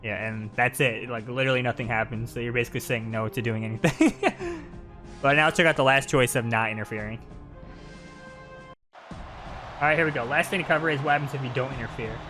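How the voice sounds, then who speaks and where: A man's voice: medium pitch, animated, brisk, pushed and bright, soft background music. A man giving casual, playful live commentary on a video game. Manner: playful, hams up cutscene dialogue.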